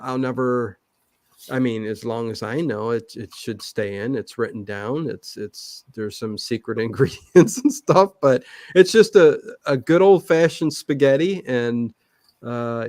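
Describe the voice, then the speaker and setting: A man's voice: mid-range voice, unhurried, a little mellow. A man chatting casually as an interview guest, rambling and often hesitating and correcting himself. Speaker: guest, online old-time radio channel host.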